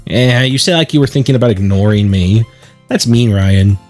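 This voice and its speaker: A man's voice: lowish voice, normal speed, a little mellow, soft background music, lightly acted. A man voicing a carefree, sweetly nagging teenage schoolgirl from a visual novel, bubbly and pleading. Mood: pouting. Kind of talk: visual novel character read-aloud.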